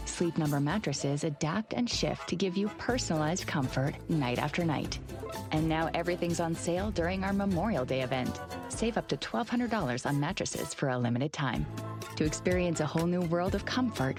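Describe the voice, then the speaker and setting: A woman with a deep voice delivers a scripted commercial. Clear background music runs under her announcer read. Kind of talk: scripted advertisement narration. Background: music bed.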